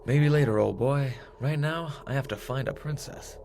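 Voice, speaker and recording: A man's voice: mid-range voice, moderate pace, vocal fry, a little mellow, slight background noise, lightly acted. A man playing a bold space captain in an audio drama, with theatrical old-fashioned heroics. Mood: cocky. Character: bold, jaunty male space hero captain.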